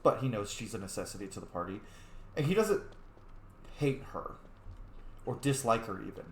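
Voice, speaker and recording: A man's voice: fairly low pitch, very animated, normal speed, slight background noise. Chatty, swearing man in a roomy room. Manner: chatty, profane, joking. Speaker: roleplay gamer. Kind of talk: casual gameplay roleplay commentary.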